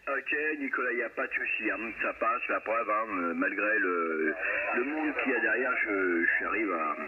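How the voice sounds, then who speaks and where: A man's voice: on the low side, lively intonation, normal speed. A man operating an amateur radio, chatting casually and repeating himself, heard through a radio transmission. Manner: repeats himself, frequent "hein" tags. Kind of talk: casual radio contact chat. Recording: narrow noisy radio link, static and interference. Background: radio static and interference.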